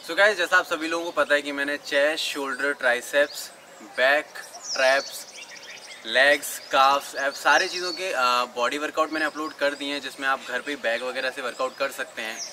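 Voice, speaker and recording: A man's voice: mid-range voice, fairly even intonation, moderate pace, noisy background. A young man in his twenties giving casual workout advice on an outdoor rooftop, chatty and peppered with fillers. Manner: chatty, mixes English into Hindi, frequent "uh" fillers. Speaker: fitness YouTuber. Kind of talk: casual instructional vlog. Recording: outdoor recording with clear birdsong. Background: birdsong and outdoor ambience.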